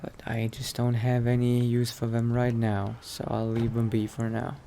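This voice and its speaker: A man's voice: mid-range voice, completely flat delivery, moderate pace, creaky, dark tone, a little hiss or hum, natural voice. A man playing a cautious vampire adventurer in an improvised game roleplay, musing hesitantly to himself. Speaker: roleplay let's-play player. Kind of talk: in-character improvised roleplay monologue.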